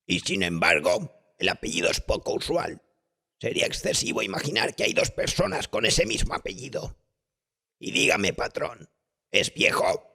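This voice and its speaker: A man voicing an old, cultured, hard-drinking tavern regular, bitter and self-loathing, speaking in an emphatic, halting way for an audiobook tale. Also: mid-range voice, big pitch swings, vocal fry, bright, forceful tone, breathy edge, pitched above the performer's own voice, exaggerated voice. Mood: uneasy, probing.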